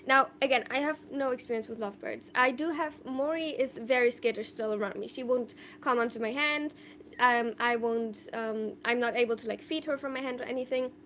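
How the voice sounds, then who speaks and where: A young woman from Germany with a high, light voice talks on a live stream. She answers viewer questions in a loose, thoughtful ramble full of fillers and restarts. Faint room ambience sits underneath. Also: fairly quick, fairly bright tone, slight background noise. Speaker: livestreamer.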